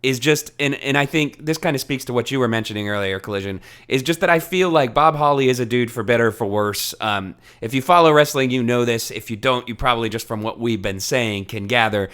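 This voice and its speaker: A man's voice: medium pitch, brisk, hardly any pauses, fairly bright tone, smooth. A man hosting a podcast, talking casually through a book discussion in a conversational way. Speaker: podcast host.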